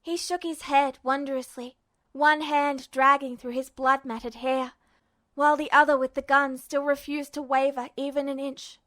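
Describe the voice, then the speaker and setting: A woman reading fiction aloud as a narrator, with expressive, dramatic storytelling. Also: high-pitched, moderate pace. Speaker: amateur audiobook narrator.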